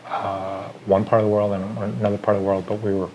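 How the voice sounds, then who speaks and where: A middle-aged man from Chile, an artist, speaking reflectively in an interview, pausing often to gather his thoughts. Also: on the low side, completely flat delivery, a little mellow, muffled recording, a little hiss or hum. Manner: thoughtful, pauses with fillers, lists ideas.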